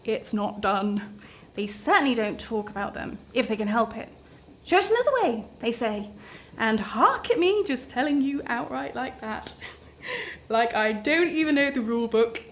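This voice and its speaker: Actress as nervous woman train passenger, high voice, halting, apologetic, self-deprecating, slow pace, radio drama. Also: lively intonation, a bit bright, slight background noise. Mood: nervous, rambling. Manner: halting, apologetic, rambling and repetitive. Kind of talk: radio play dialogue.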